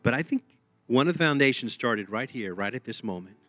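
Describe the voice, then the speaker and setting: A man's voice: medium pitch, animated, a little fast, a bit bright, no echo, soft background music. A man with a Texan accent preaching a sermon in an emphatic, conversational way, often repeating his phrases. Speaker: pastor.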